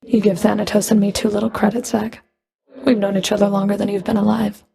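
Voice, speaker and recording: A woman's voice: mid-range voice, level tone, fast talker, vocal fry, mellow. A woman playing a stern, sardonic underworld fury in a video game, speaking in a cool, clipped, dry and commanding manner. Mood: guarded. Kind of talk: video game character voice lines.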